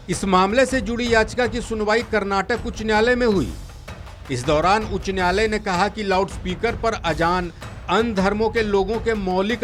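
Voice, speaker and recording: A man reading scripted news as a presenter, in a formal, measured delivery. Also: sits high, a bit bright. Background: faint background music.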